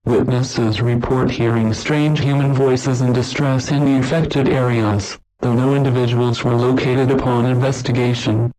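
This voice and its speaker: Male announcer, medium pitch, scripted emergency broadcast, formal, measured, impersonal. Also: steady tone, dark tone, rough recording.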